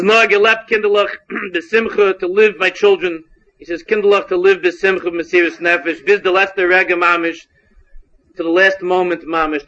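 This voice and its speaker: A Hungarian-born man teaches Torah, reading a Hebrew line and then translating it bit by bit. His voice sits high, and he keeps repeating and restarting phrases. The recording is muffled and lo-fi. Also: a bit bright. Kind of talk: text-based Torah lesson. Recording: dull lo-fi recording.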